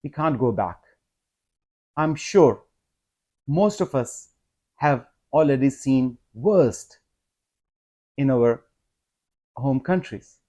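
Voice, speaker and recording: Slow, earnest man. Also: medium pitch, lively intonation, warm tone. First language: not English. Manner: earnest, measured, rising to emphatic appeals. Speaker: vlogger. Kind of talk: personal vlog monologue.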